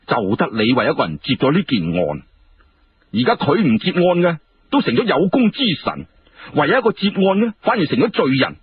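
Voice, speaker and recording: A man voicing an imperious, sharp-tongued emperor with an emphatic, questioning delivery in historical storytelling. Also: on the high side, big pitch swings, fairly quick, pitched above the performer's own voice, full character voice. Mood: indignant, challenging. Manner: indignant rhetorical questioning, emphatic. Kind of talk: Cantonese historical storytelling dialogue. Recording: muffled, clearly noisy radio-like recording.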